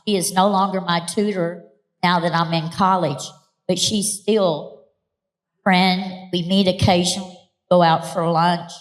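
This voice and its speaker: A woman giving an earnest, plainspoken personal testimony at an event, with a few fillers. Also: on the low side. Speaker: adult learner and college student. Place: event hall. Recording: long hall reverb.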